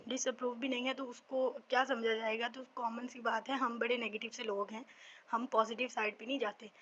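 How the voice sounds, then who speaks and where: A woman with a Pakistani accent teaches in an informal lecture style. Her voice is high and very bright, and she often poses questions and then answers them. The recording has some background noise. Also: lo-fi sound. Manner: conversational, asks and answers own questions. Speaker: law teacher.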